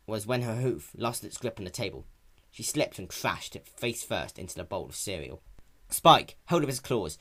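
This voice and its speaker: Male story narrator with lively pitch. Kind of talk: dramatic fan story reading, scripted narration.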